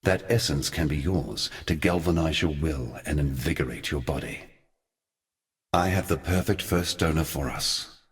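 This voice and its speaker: An actor playing a solemn, scheming ancient god in a video game, speaking in measured, grandiloquent monologue. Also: male voice, rumbling low. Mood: grave, persuasive.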